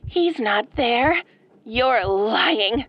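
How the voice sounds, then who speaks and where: Obsessed killer fangirl, horror audio drama, girlish, sweet menace, unhurried, dry slightly dull close recording. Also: on the high side, lively intonation, no room sound, heavily acted. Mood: sweetly threatening. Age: young adult. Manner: girlish, soft-spoken, pouty. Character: sweetly menacing young obsessed female fan turned killer. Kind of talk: ASMR horror roleplay acting.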